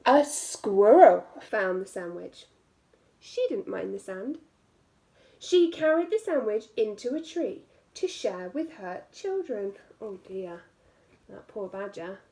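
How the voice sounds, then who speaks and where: A woman's voice: medium pitch, very animated, takes it slowly, creaky, dark tone. A woman reading a picture book aloud to young children as a teacher, expressively stretching key words and adding little asides to the listeners.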